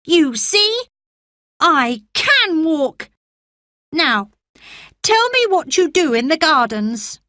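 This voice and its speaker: Lady doing a squeaky little boy, bossy and excited, slow and dry. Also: no echo, heavily acted. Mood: eager. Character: sickly demanding boy, eager and imperious.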